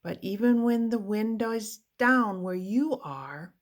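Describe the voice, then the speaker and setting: A woman narrates a picture book for young children at a slow, unhurried pace. Her pitch sits in a middle range for a woman, and she phrases each sentence expressively and deliberately. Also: lively intonation. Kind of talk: read-aloud children's storybook narration.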